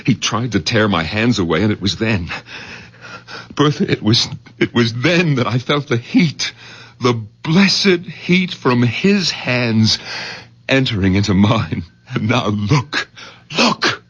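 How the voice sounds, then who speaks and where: A man's voice: mid-range voice, expressive, slow, fairly bright tone, breathy edge, exaggerated voice. An actor playing an overwrought, insistent doctor in a 1970s radio drama, speaking urgently and repeating his words in awe. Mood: fervent confession. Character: overwrought doctor son-in-law, awed and insistent. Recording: muffled old radio drama recording.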